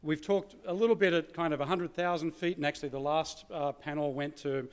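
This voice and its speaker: A man's voice: a little high, fairly even intonation, fairly quick, smooth. A man with an Australian accent giving an informal keynote presentation, conversational and peppered with casual tags like "you know" and "right?". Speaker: keynote speaker.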